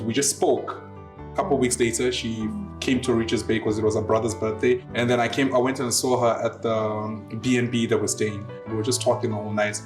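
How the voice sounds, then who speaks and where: Young man in his twenties, playful and animated storyteller, faint guitar behind. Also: medium pitch. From Zimbabwe and South Africa. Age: 20s. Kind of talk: casual interview storytelling.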